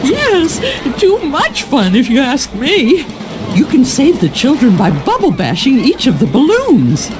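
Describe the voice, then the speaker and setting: Fretful, high-voiced prim cartoon fish lady. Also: huge pitch swings, unhurried, noisy background, full character voice. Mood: alarmed, fussy. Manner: fussy, alarmed, disapproving. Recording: music behind the voice.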